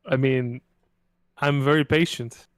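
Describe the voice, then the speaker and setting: A man's voice: mid-range voice, fairly even intonation, takes it slowly, dry recording. Laid-back man at a tabletop game, dry mic. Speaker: player in a tabletop roleplaying game. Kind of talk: casual roleplay and table talk.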